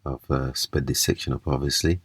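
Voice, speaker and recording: A man giving a casual, hands-on repair demonstration, explaining haltingly step by step. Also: very deep voice, steady tone, background noise. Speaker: repair video presenter. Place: small indoor room at a table.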